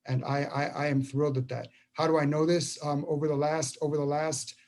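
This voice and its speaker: A man in his fifties, a school superintendent, addressing a public meeting by video call in a halting way with many repeated words and restarts. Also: fairly high pitch, little pitch movement, darkish tone.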